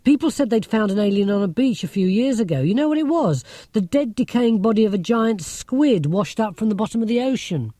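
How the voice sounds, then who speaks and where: A man's voice: high voice, animated, a little fast, hardly any pauses, dark tone, dry recording, studio quality, voice pushed up. A man voicing a brainy, blunt schoolgirl in an audiobook, matter-of-fact and sceptical. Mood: knowing, sceptical.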